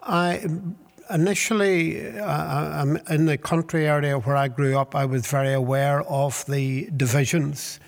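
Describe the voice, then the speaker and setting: An older man with a Northern Irish accent, telling his life story in an interview in a measured, hesitant way. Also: fairly high pitch, steady tone, gravelly, long reverb tail. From Northern Ireland. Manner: measured, hesitant with fillers and restarts. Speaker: interviewee, Baptist minister. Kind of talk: reflective storytelling.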